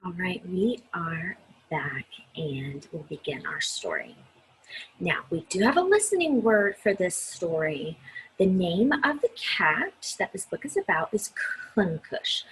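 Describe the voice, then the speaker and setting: A woman with a breathy voice reads a story aloud expressively, speaking directly to young listeners and adding her own asides. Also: medium pitch, a bit bright, a little hiss or hum, low-quality recording. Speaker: children's story reader.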